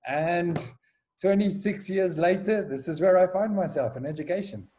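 A South African man in his forties speaks in a mellow, slightly high voice. He talks in a relaxed interview way, stumbling and repeating words as he gathers his thoughts. He is a school headmaster telling his story. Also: moderate pace. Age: 40s. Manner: hesitant, many restarts and repeated words. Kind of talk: casual podcast interview. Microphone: call recording.

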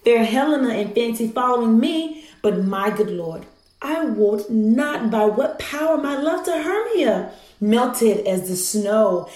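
Earnest young male lover, played by a woman, roomy sound, unhurried Shakespearean radio verse. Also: on the high side, lightly acted. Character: earnest, lovestruck young Athenian noble suitor, male.

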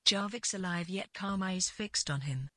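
A woman narrating literary fiction from a script in a measured way. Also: mid-range voice, bright, forceful tone, dry recording, clean studio sound.

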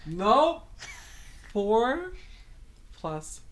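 A woman hosting a casual podcast, her voice raspy and tired, talking in a rambling, halting way with frequent pauses. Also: fairly high pitch, sing-song. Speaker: podcast host.

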